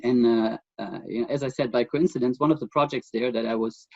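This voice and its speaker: A middle-aged man with a German accent speaks as the expert guest in a remote interview. He talks in a thoughtful, halting way, pausing on fillers and restarting his sentences. The audio is dull and close, with almost no room sound. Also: medium pitch, creaky, a little mellow, dry recording.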